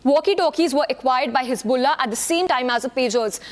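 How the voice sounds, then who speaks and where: High-voiced woman anchoring live news. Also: brisk, long reverb tail. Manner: hesitant, many fillers and restarts. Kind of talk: live news bulletin, ad-libbed.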